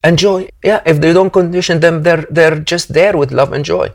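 A man's voice: on the high side, steady tone, normal speed. A man from Egypt, hosting an interview and speaking in an effusive, emphatic, heartfelt way. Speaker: host. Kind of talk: conversational interview.

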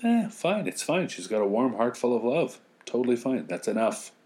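A man's voice: mid-range voice, sing-song, normal speed, darkish tone. A middle-aged man reading a children's fairy tale as a storyteller, with joking asides.

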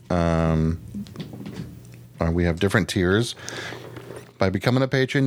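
A man co-hosting a podcast in casual chat, often halting and thinking aloud as he goes. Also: on the low side. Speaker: podcast host.

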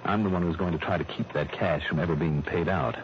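An actor playing a shrewd, skeptical insurance investigator in early 1960s radio drama, clipped, probing and self-assured. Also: male voice, deep voice, a little fast, lightly acted. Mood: firm, skeptical. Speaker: actor in the lead role of a radio detective serial. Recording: dull, noisy old radio recording.

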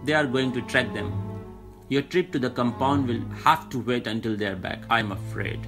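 A man's voice: medium pitch, normal speed, a bit bright, soft background music, lightly acted. An actor playing a matter-of-fact, authoritative male boss in an audio drama. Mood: businesslike. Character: businesslike male boss in charge of an island team.

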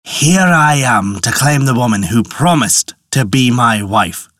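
A man voicing a stern, suspicious male turtle chief who talks slowly and deeply. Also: expressive, a bit bright, no echo, clean studio sound. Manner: slow, deep and commanding.

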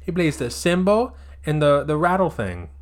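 A prudish churchgoer from a small town scolds in a mock American accent, as a man puts him on, stretching every word slowly. The voice sits well above the speaker's own and comes out loud and preachy. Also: a little high, very animated, voice pushed up. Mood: scolding. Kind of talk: comedic impression in a podcast.

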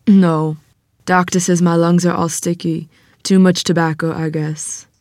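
Woman as an ailing church organist, lowish pitch, folksy, frank, devout, table-read drama, close dry sound. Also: level tone, unhurried, darkish tone, no echo, lightly acted. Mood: wry, frank. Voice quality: coughing.

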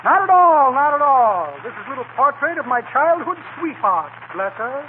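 A man's voice: very high-pitched, very animated, moderate pace. An actor playing a pompous, shifty con man in a 1940s radio comedy, with florid, blustering, wordy speech. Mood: smug. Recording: noisy, tinny 1940 radio broadcast.

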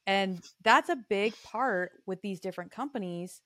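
A woman's voice: mid-range voice, moderate pace, a bit bright, dry recording, clean studio sound. A woman hosting a podcast interview who talks in an easygoing, affirming way. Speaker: podcast host. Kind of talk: conversational interview.

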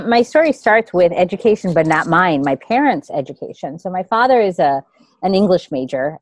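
A woman in her fifties from India tells her life story as an interview guest. Her voice sits a little low, and she winds through her memories with many restarts. The sound is close and dry. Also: no room sound. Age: 50s. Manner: reflective, rambling, with frequent restarts.

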